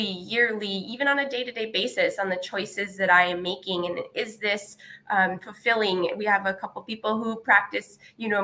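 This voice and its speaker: Bright-voiced woman reflecting thoughtfully. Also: mid-range voice. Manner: thoughtful, searching, many pauses and fillers. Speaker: panelist. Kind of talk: reflective webinar talk.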